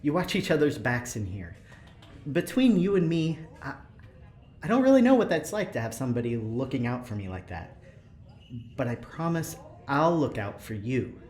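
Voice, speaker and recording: A man's voice: mid-range voice, sing-song, normal speed, a little mellow, close to an everyday voice. An actor playing an eager, earnest male prison engineer in an audio drama, rambling hesitantly with nervous laughter. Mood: earnest, persuasive. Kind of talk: audio drama acting, naturalistic.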